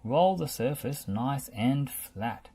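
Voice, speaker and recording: A man's voice: medium pitch, expressive, slow pace, slightly rough, heavily acted. A man voicing a cheerful, bossy builder who calls out instructions in a children's storybook. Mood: instructing. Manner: calling out instructions loudly. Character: cheerful, bossy male builder, quick to worry.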